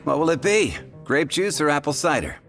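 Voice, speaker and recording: A man's voice: fairly high pitch, big pitch swings, brisk, music in the background. A man voicing a matter-of-fact tavern bartender in a fantasy video game, speaking tersely.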